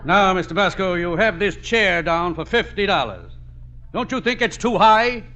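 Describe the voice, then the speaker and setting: An actor playing a brisk, skeptical insurance adjuster, clipped and businesslike, in a radio comedy. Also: male voice, sits high, expressive. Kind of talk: 1950s radio comedy acting. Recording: dull, faintly noisy old radio recording.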